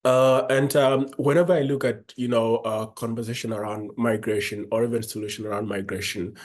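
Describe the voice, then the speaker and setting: A man from South Sudan giving earnest panel remarks, halting and repeating words as he speaks. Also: medium pitch, fairly even intonation.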